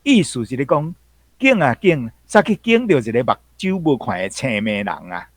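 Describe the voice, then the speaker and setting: A man's voice: mid-range voice, big pitch swings, normal speed, no echo, clean studio sound. A man with a Taiwanese accent explaining folk sayings, emphatic and fond of repeating key phrases. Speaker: language commentator.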